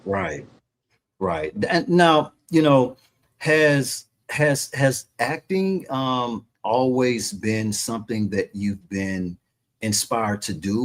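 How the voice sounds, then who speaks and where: A man hosting a radio interview show, talking casually with many fillers and repeated words. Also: lowish voice. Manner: warm, chatty, repeats words, lots of fillers. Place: radio station.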